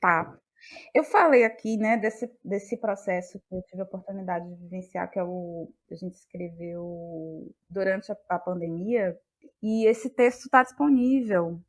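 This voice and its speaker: A woman with a Bahian Brazilian accent, speaking as an interview guest in a reflective, conversational way, often halting to search for words. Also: on the low side. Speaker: guest, playwright and actress.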